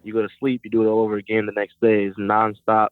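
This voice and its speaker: A young man from Puerto Rico talks casually over a tinny, phone-like line. His voice is low, flat and smooth, and the sound is dry. He keeps it relaxed, leaning on "like" and "you know". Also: fast talker, no room sound. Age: young adult. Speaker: guest, college football player. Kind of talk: phone interview.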